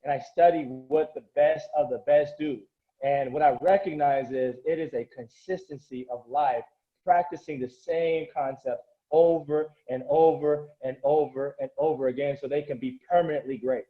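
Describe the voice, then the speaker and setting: A man's voice: a little high, fairly even intonation, moderate pace, phone-quality sound. A man who coaches and grew up speaking Korean, talking earnestly and motivationally in a casual interview, often restarting his sentences.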